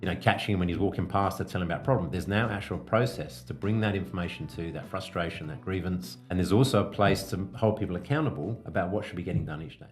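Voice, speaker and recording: A man's voice: low voice, quick pace, few pauses. A man giving an informal, explanatory coaching talk as a podcast host, plain-spoken with hesitant phrasing and casual asides. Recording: music behind the voice.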